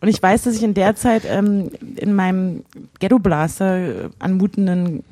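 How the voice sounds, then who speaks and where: A woman's voice: medium pitch, fairly even intonation, moderate pace, dark tone, no echo. A woman co-hosting a casual chat show. She reads from her old diary, hesitating with many fillers and laughing often. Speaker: podcast co-host.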